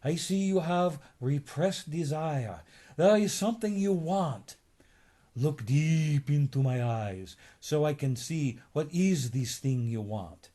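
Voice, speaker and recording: A man's voice: fairly high pitch, expressive, mellow. Man playing a self-assured hypnotist professor. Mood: commanding. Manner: commanding, deliberate.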